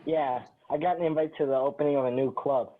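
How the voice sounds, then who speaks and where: An aloof, sarcastic party guest, read by a man at a table read. The pitch is ordinary and the audio is thin, like a phone line. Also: fairly even intonation, fast talker, lightly acted. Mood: self-absorbed.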